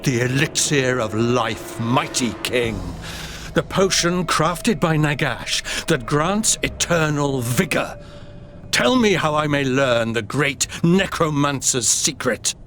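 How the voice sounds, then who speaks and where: A groveling scheming necromancer. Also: male voice, fairly high pitch, expressive, fairly bright tone, slight background noise. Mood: flattering. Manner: oily, deferential, slow pleading. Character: scheming, groveling male necromancer seeking immortality. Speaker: game cutscene character.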